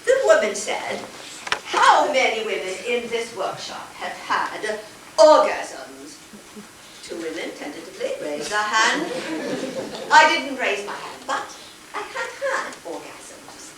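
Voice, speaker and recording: An actress playing an earnest, awkward, wonder-struck woman in a theatrical stage monologue, savoring her words with deliberate pauses. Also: on the high side, huge pitch swings, crowd noise, heavily acted. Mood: confessional, wondering. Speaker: stage actor. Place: college theater stage before an audience. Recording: echoey theater, distant mic, noisy hiss.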